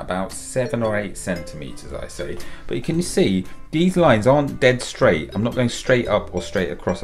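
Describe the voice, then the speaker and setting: A man in his fifties, a children's author and illustrator, cheerfully talking young children through a drawing step by step from his home studio. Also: on the low side. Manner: upbeat and encouraging, talks children through each step.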